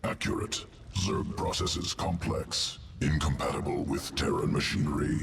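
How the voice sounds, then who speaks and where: A man voicing a detached, clinical alien creature in a video game, gravelly, in clipped broken sentences. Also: fairly even intonation, a little slow, full character voice. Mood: detached, analytical. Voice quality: very deep, gravelly. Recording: distant, echoing hall, faint noise.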